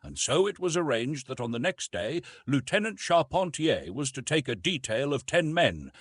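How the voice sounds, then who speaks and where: A man narrating a classic novel as an audiobook, in a formal, expressive, dramatic style. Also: fairly high pitch, slightly rough, no echo, clean studio sound. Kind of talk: scripted literary narration, dramatic.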